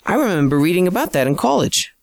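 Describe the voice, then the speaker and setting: A man's voice: high-pitched, sing-song, fast, gravelly, no echo, studio quality, pitched above the performer's own voice, heavily acted. A man voicing an eager young assistant who explains facts keenly. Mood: explaining.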